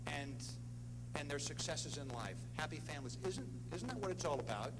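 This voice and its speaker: A man's voice: on the high side, animated, normal speed. A middle-aged man giving an earnest, emphatic ceremony speech in short punchy phrases as a presenter. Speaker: presenter at an athletics hall of fame ceremony. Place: indoor ceremony hall with long reverb. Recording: echoey hall, noisy hum.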